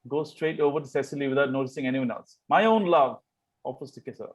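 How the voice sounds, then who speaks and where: A man's voice: mid-range voice, fairly even intonation, very fast, a little mellow, highs cut off. A man reading out stage directions as scripted narration in a play table read.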